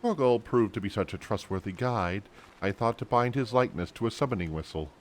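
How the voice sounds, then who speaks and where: A man's voice: lowish voice, lively intonation, fairly quick, slight background noise, voice pushed up, lightly acted. Man putting on a courteous, cryptic quest giver. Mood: gracious.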